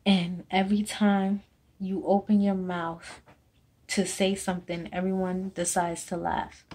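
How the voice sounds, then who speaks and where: A young woman from Jamaica with a Jamaican accent, slowly reading a personal essay to a webcam in a small room at home. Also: lowish voice, level tone, darkish tone. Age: young adult. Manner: slow, halting reading with restarts. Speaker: student. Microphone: webcam or laptop camera close up.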